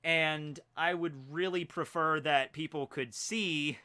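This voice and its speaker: Brief: twenties male streamer, bright and clean tone, chatty, talks through what he is doing. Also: a little high, fairly even intonation, slow, clean tone. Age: 20s. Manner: chatty, self-narrating while fixing stream problems. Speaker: stream host. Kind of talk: casual live-stream chat. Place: home room.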